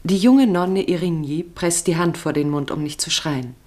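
A female narrator with a low voice for a woman. She reads scripted radio play narration in a measured, serious, literary style. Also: a little mellow.

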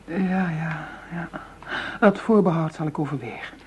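An actress playing a cool, seductive dealer in shady money deals, poised and teasing, in a radio drama with some background noise. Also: female voice, sits low, measured pace. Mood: poised, probing.

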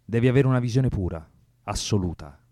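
An actor playing a cold, doctrinaire communist militant in a radio drama, lecturing and provoking in a commanding way. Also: male voice, on the low side, animated, a little fast, mellow, no echo, studio quality, lightly acted. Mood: cold, solemn.

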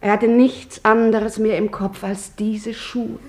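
An actress playing a shoemaker's widow in a 1950s radio play, rambling and musing but oddly practical. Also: female voice, fairly high pitch, level tone, slow pace. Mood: brisk. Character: chatty, grieving widow of a shoemaker. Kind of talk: 1950s radio drama acting.

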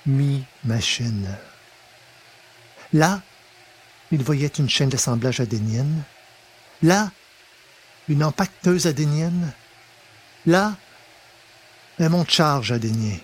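A man narrating a scripted story in a measured, dramatic manner, with pauses for effect. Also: medium pitch, breathy edge. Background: music.

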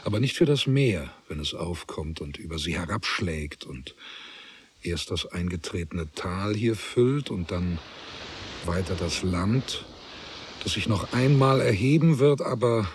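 An actor as a contemplative storyteller, narrating a literary radio drama in deliberate short phrases. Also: male voice, deep voice, lively intonation, a little hiss or hum, full character voice. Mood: solemn. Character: measured, contemplative male storyteller of a literary tale.

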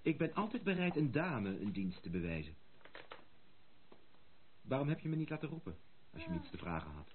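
Man acting young gentleman, swooping intonation, ironic, formal, self-assured, tinny hissy radio play. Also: medium pitch, very animated, a little fast, long pauses, natural voice. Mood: cool. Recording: tinny, noisy old radio recording.

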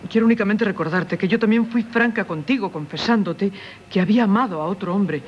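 A woman's voice: medium pitch, fairly quick, close to an everyday voice. An actress with a Castilian Spanish accent playing a tormented married woman haunted by a former lover, in solemn, confessional radio theatre style. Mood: grave, confessional. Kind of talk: 1970s radio theatre acting. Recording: dull, noisy 1970s radio recording.

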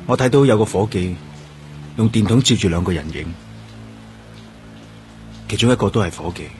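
A man's voice: lowish voice, lively intonation, moderate pace, a little mellow, faint music behind, lightly acted. A man acting in a Cantonese radio drama with a Hong Kong accent, playing a grief-stricken police detective who also narrates. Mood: numb, sorrowful. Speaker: actor.

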